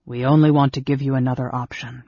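A man performs a middle-aged half-elf adventurer for a fantasy audiobook. He is earnest and weary, with an exasperated edge. The voice is a touch husky, recorded dry and slightly dull. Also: medium pitch, little pitch movement, fast talker, no echo.

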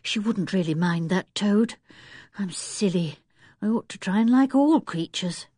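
A woman voicing an anxious, squeamish young girl in a storybook, fretful and expressive. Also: mid-range voice, measured pace, slightly rough, no room sound, clean studio sound. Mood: self-reproachful.

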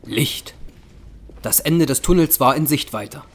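A man's voice: medium pitch, unhurried, slight background noise. A man narrating an audio drama from a script.

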